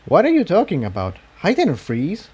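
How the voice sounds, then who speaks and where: Man acting male unicorn pony adventurer, mellow tone, steadfast, weary, earnest, reassuring, storybook reading. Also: fairly high pitch, huge pitch swings, normal speed, husky, pitched above the performer's own voice, heavily acted. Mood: puzzled.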